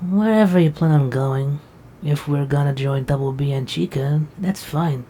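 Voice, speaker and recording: A woman's voice: deep voice, normal speed, dark tone, slight background noise, natural voice. A woman playing a sleepy, protective young knight who talks in a drowsy, affectionate way. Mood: tired. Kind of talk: casual roleplay dialogue.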